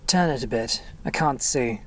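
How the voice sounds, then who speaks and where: A man's voice: mid-range voice, moderate pace, a bit bright, slight background noise, lightly acted. An actor playing a morbidly fascinated, learned grave robber in an audio drama, relishing his words and dropping into whispers. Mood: intrigued, dry.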